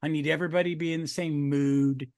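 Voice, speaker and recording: A man's voice: a little high, steady tone, quick pace, darkish tone, no echo, studio quality. A man chatting casually as a podcast panelist. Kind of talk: casual chat.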